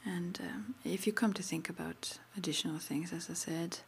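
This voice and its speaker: A woman whispering gently and hesitantly in an ASMR roleplay, as an interviewer asking intake questions in a breathy voice. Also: fairly low pitch, normal speed. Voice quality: breathy whisper with some creak. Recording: faint background noise.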